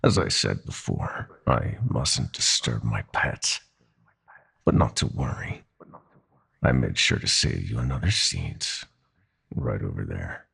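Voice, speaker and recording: A profoundly deep, husky villain god of nightmares for an audio roleplay. He gloats in slow, silky, mocking threats, his pitch sweeping widely over a gravelly bottom. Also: very animated, fairly bright tone, exaggerated voice. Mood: sly mocking. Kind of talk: roleplay audio drama acting.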